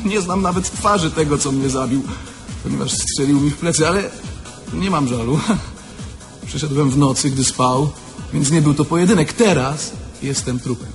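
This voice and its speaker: A man plays a young thief who speaks as a corpse in a radio drama. His voice is fairly high, and he declaims in a brooding, crude and frightened way, with faint music behind him. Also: normal speed, close to an everyday voice. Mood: brooding, fearful. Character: dead young male thief, crude, restless, afraid in his coffin. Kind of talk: theatrical radio-play monologue.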